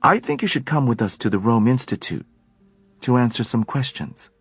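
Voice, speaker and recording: A man voicing a sharp, suspicious young woman who speaks in a cool, probing way. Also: medium pitch, big pitch swings, moderate pace, slightly rough, dry recording, voice pushed up.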